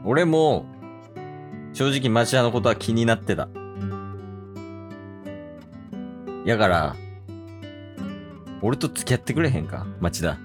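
A man's voice: mid-range voice, expressive, unhurried, pauses often, over music, lightly acted. A man playing an earnest, smitten teenage boy with a Kansai accent in an amateur radio drama.

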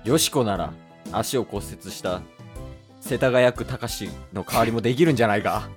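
A man's voice: lowish voice, moderate pace, faint music behind, lightly acted. Man acting earnest young swim teammate. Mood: earnest, rallying. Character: earnest young male swim team member rallying friends.

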